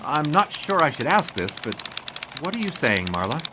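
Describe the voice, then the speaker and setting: A man's voice: a little high, big pitch swings, normal speed, heavy background noise. A man playing a dry, wisecracking 1920s newspaper sports writer in an audio drama, in both dialogue and narration. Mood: polite, reserved.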